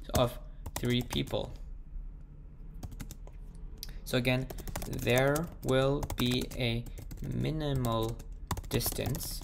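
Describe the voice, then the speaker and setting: A man with a sore, croaky throat, thinking aloud as he works through a math problem and slowing down as he types the proof. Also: medium pitch, fairly even intonation, background noise. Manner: thinks aloud, slows down while typing. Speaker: math tutor. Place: at a computer, screen recording.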